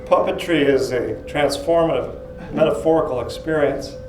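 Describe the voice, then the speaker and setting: Solemn, self-important puppeteer played by a man, deliberate comic pomposity; echoey, noisy live sound. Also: fairly high pitch, lightly acted. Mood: pompous, solemn. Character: pompous, solemn male puppeteer with lofty artistic airs. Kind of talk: live comedy sketch, mock question-and-answer. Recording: echoey room, clearly noisy live recording.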